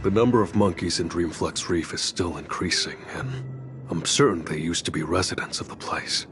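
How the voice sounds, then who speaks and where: Actor as a very deep-voiced, grave, formal leader. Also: male voice, over music, natural voice. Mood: grave, measured. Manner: measured, formal, solemn. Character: grave, formal, weary male community leader bearing bad news. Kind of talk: video game voice acting.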